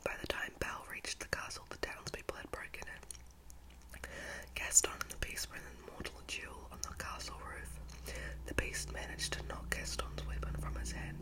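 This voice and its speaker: A young woman whispering breathily and close to the mic for ASMR, hushed and informal as she tells stories and talks to viewers. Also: low-quality recording. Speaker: ASMR storyteller and channel host. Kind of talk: whispered ASMR, reading a storybook and chatting to viewers. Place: at home indoors. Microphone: close-up microphone.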